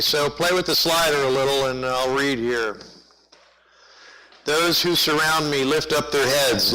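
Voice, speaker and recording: A man with a loud voice, reading psalms aloud in a church during a microphone sound check. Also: mid-range voice, low-quality recording. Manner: loud, projecting voice. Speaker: scripture reader testing church microphones. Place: church sanctuary. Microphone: pulpit and lapel mics.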